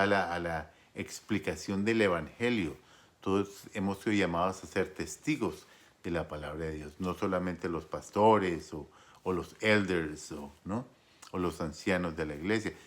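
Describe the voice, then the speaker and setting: A man with a very low voice gives a sermon to his congregation. He talks at an unhurried pace, pausing on fillers and restarting phrases, and often checks in with his listeners. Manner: warm pastoral tone, asks "¿no?" often. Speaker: preacher.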